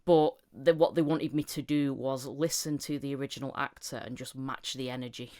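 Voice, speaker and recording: A woman's voice: extremely low pitch, fairly even intonation, normal speed, dark tone. A woman hosting a podcast, chatting casually and telling anecdotes about her own work. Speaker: podcast host, voice actor.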